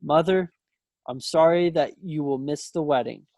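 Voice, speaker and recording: A man's voice: on the high side, normal speed, a little mellow, no room sound, lightly acted. A man playing an earnest, stubborn young soldier in love, in an amateur play read over a video call. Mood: indignant.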